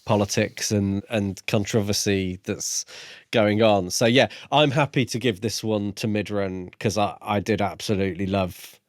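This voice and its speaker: A man's voice: lowish voice, fairly even intonation, measured pace, dry recording, studio quality. A man co-hosting a podcast in a casual discussion about books. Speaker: podcast co-host.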